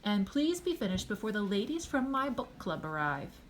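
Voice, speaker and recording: A woman voicing a gently firm, coaxing mother in a storybook read-aloud. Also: mid-range voice, very animated, moderate pace, a little hiss or hum, lightly acted.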